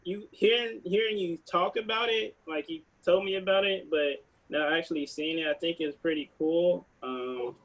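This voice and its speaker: A man with a fairly high voice leads an informal group chat. His phrasing is loose and laid-back, like someone hosting friends on a video call. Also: moderate pace, hardly any pauses, a bit bright, poor audio. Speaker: host of a table read.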